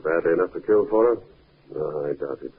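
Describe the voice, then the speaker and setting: An actor playing a calm, shrewd police inspector in a 1950s radio drama, measured, probing and understated. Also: male voice, low voice. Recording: narrow-band, hissy 1950s radio recording.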